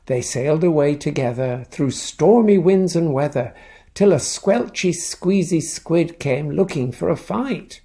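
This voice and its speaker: A man reads a children's storybook aloud in a playful, expressive way. His voice is slightly high and creaky, and he takes his time over the words. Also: a little slow. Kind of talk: animated read-aloud storytelling with asides.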